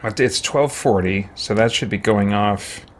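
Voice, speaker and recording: A man's voice: on the low side, unhurried. A man hosting a game let's-play, chatting casually and reflectively to viewers, thinking aloud in hesitant, looping, qualified sentences. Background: background music.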